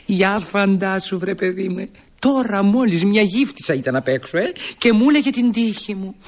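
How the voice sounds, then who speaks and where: A man's voice: high voice, lively intonation. An actor playing a flustered young fiancé in a comedy, stammering, wheedling and repeating himself as he fibs. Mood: evasive. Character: flustered, fibbing young fiancé juggling two women. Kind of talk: comedy acting.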